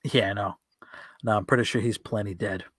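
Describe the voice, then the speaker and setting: A man's voice: on the low side, steady tone, normal speed, fairly bright tone, breathy edge, no echo, studio quality. A man co-hosting a podcast with casual banter, encouraging and given to repeating short phrases. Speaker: podcast co-host.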